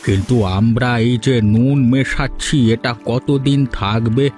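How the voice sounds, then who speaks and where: A man's voice: mid-range voice, unhurried, a little mellow, lightly acted. A hesitant cartoon husband, doubtful of every plan, as a man voices him. His voice sits in a mid range and he keeps asking worried questions. Faint music plays under him. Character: doubtful, cautious village husband. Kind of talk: dubbed cartoon dialogue. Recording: music in the background.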